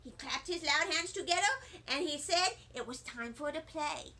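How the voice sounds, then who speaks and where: A woman's voice: high-pitched, expressive, moderate pace, sharp tone. A woman reading a children's book aloud, narrating in a chatty, wide-eyed first-grader voice. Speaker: storybook reader.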